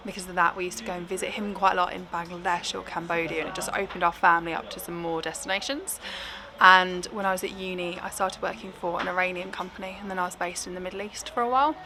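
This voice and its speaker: Quick, chatty woman in her twenties. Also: on the low side, steady tone, a crowd in the background. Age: 20s. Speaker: interviewee. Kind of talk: casual interview answers.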